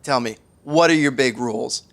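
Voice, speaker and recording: An actor playing a nervous, awkward young sitcom roommate who rambles, stammers and apologizes. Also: male voice, mid-range voice, moderate pace, lightly acted. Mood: casual. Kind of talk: sitcom acting.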